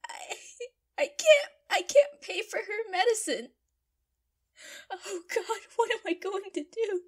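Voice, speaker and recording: Woman acting very high, sobbing, desperate girl. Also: animated, a little mellow, full character voice. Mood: tearful, desperate. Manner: halting, stammering. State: crying. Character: distraught, desperate young maid in tears. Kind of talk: emotional audio drama acting.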